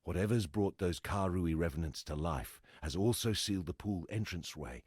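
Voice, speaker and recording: A deep, husky, weary exile. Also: male voice, normal speed, darkish tone, no room sound, clean studio sound. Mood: businesslike. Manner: blunt, earthy, salty-tongued. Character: gruff, weary, plain-spoken exiled former smuggler, adult man. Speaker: game character voice actor. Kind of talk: scripted video game character dialogue.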